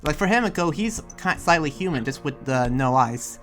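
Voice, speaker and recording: An exasperated young demon slayer acted by a man in an improvised game roleplay. His pitch swings widely as he halts, repeats himself and pushes back defensively. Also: animated, normal speed, fairly bright tone, faint music behind. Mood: hesitant, explaining. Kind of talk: improvised in-character roleplay dialogue.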